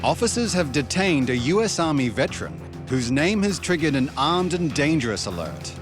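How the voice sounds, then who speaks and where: A man narrates a documentary in a measured, explanatory tone, his pitch sitting a little high and swinging widely as he walks through each scene. He reads from a script over a steady bed of background music. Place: voice-over studio.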